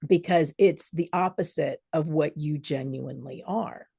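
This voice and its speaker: A woman co-hosting a casual podcast chat, explaining things in a measured, thoughtful way. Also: low-pitched, creaky, dark tone, breathy edge, dry recording, studio quality. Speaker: podcast co-host.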